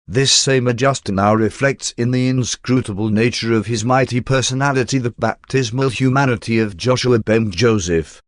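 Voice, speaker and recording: A man reading a spiritual text as scripted narration in a measured, formal and solemn manner. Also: medium pitch, no echo, studio quality. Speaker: audiobook narrator.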